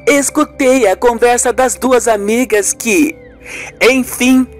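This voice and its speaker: A woman's voice: fairly high pitch, fairly even intonation, slow pace, faint music behind. A woman playing an earnest, accusing female friend in an amateur radio soap, explaining things insistently. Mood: confiding.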